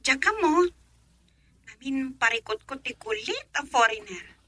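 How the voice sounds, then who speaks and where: An actress voicing a chatty young woman gossiping with her cousin in a radio drama, lively and dropping to a whisper for secrets. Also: high-pitched, a little slow, dry recording, full character voice. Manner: lively, drops to a whisper when sharing secrets.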